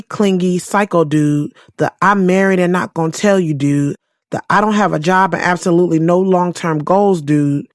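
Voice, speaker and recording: A woman's voice: on the low side, no room sound, natural voice. A woman playing a frank, sassy young single woman in an audio drama, conversational, slangy and wry. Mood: wry, exasperated. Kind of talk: first-person audio drama narration and dialogue.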